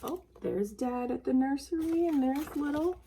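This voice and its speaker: A woman's voice: high voice, animated, normal speed, creaky, dark tone, a little hiss or hum. Woman talking simply to children. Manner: plain, simple, child-directed phrasing. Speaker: school nurse reading a story to children. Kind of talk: casual talk to children and read-aloud storytelling.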